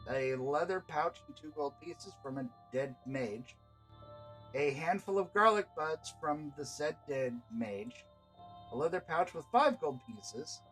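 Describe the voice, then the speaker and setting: A man's voice: a little high, low-quality recording. A man voicing a brusque, exasperated female guild leader in a story, curt and commanding.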